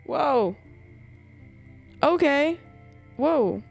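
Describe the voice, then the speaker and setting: Playful woman livestreamer reacting out loud. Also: high-pitched, animated, extremely slow, creaky. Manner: playful, exclamatory, swears freely. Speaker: game livestreamer. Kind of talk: casual live reaction commentary. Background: game music.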